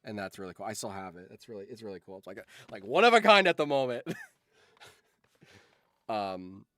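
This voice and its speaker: A man's voice: fairly low pitch, animated, fairly quick, bright, forceful tone, dry recording. A man chatting casually on a livestream, telling anecdotes in an informal, sweary, enthusiastic fan's way. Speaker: streamer and voice actor.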